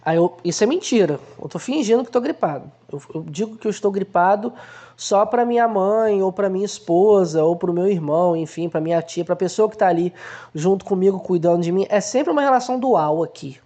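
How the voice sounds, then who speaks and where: Mellow-voiced man talking through a film. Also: high voice, a little fast. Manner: conversational, explains by acting out everyday examples. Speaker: film analysis host. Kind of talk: casual explanatory film commentary.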